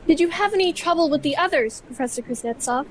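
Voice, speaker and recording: An actress playing an exacting, earnest computer scientist in a science fiction radio play. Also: female voice, sits high, expressive, fast. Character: exacting female artificial intelligence scientist, earnest. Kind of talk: scripted sci-fi radio play.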